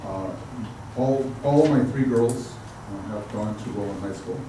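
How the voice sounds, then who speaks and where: A middle-aged man, a school board candidate, giving a halting forum statement with many fillers. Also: on the low side. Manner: hesitant, many fillers and restarts. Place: outdoor park event. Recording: echoey, far from mic, noisy.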